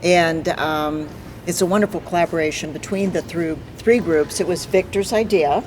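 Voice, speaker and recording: A theatre guest answering interview questions in an upbeat, quick way. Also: medium pitch, a little hiss or hum. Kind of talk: casual interview.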